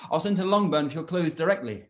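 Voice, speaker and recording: A young man playing a kindly, courteous young gentleman in a period drama read over video call. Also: a little high, expressive, fast, lightly acted. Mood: concerned, obliging. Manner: courteous, eager. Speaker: actor in live read.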